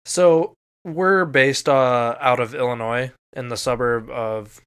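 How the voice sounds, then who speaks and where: American male podcast co-host, smooth clean voice, casual storyteller who swears freely. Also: medium pitch, fairly even intonation, takes it slowly, fairly bright tone. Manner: casual, profane.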